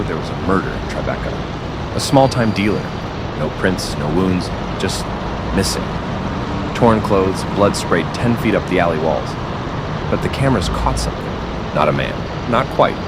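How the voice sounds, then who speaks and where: A man with a low voice narrates a dark first-person story. He is slow and serious, leaving dramatic pauses between phrases. Heavy rain and city traffic noise run behind him. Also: big pitch swings. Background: rain and city traffic ambience.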